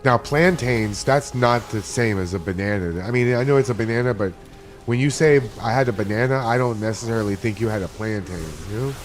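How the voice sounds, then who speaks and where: A man's voice: medium pitch, fairly quick. A man from Miami chatting casually and profanely while streaming a game, rambling from one topic to the next. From Miami, USA. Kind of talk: casual live-stream chat while gaming. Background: game music.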